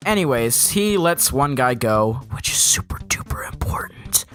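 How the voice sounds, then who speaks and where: A young man narrating a scripted comedy movie review, sarcastic and deadpan, often jumping up in pitch for a joke. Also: a little slow, clear, resonant tone, in a whisper. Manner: sarcastic, deadpan jokes with sudden pitch jumps.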